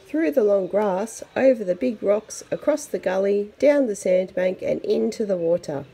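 A woman reading a picture book aloud to young children in an expressive, chatty way. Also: mid-range voice, normal speed, creaky, husky. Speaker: storybook reader for children.

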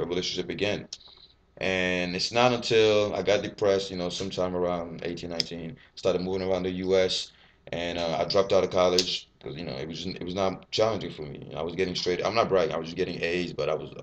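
Young man from Ivory Coast, French first language, low voice, rapid candid webcam vlog, faint hiss. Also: steady tone. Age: 20s. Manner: candid, rambling, swears freely, gestures a lot. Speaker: fitness vlogger. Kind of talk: casual personal vlog to camera. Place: small bare indoor room. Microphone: webcam at desk.

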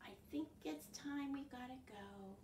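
An older woman hosting an interactive picture-book storytime for young children, expressive and full of questions to her listeners. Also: sits high, measured pace, slight background noise. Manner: animated, questioning, exaggerated gasps and reactions. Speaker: children's librarian, storytime host. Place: living room at home, indoors. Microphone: camera a few feet away. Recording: roomy, distant from the microphone.

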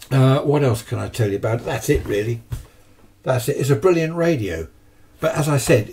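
A man's voice: mid-range voice, mellow. A man, chatting casually and wryly through a rambling product review. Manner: rambling, wry asides, self-mocking. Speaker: presenter of a radio workshop channel. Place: small cluttered indoor radio workshop.

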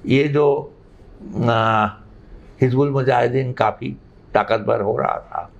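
A man, explaining things haltingly but emphatically as an interview guest. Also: mid-range voice, creaky. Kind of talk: unscripted interview answers. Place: living room indoors. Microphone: lapel mic. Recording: slightly muffled sound.

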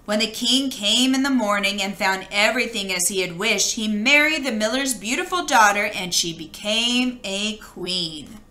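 A woman reading a children's storybook aloud with expressive, dramatic storytelling. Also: medium pitch, moderate pace, few pauses, roomy sound. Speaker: children's book read-aloud reader.

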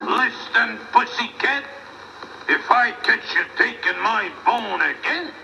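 A man voicing a gruff, threatening cartoon bulldog with a gravelly voice on a muffled, noisy old soundtrack. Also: extremely high pitch, animated, pushed and bright, recorded from a distance, exaggerated voice. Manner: menacing, slow.

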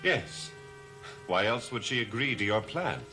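A proud, mocking gentleman of a cursed house, in a gothic television soap. His deep voice moves theatrically up and down, sardonic throughout. Music plays clearly behind him. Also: lively intonation, measured pace. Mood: mocking, defiant. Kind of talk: gothic soap opera acting.

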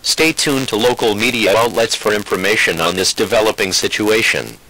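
A man's voice: lowish voice, moderate pace, background noise. A synthetic-sounding man reading a scripted emergency alert bulletin in a formal, impersonal way. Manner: formal, impersonal, synthetic text-to-speech delivery.

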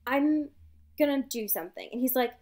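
A woman co-hosting a podcast, casually recapping a story in an animated way and acting out bits of dialogue. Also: sits high, normal speed. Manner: animated retelling, acts out dialogue. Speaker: podcast co-host. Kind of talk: casual chat recapping a novel.

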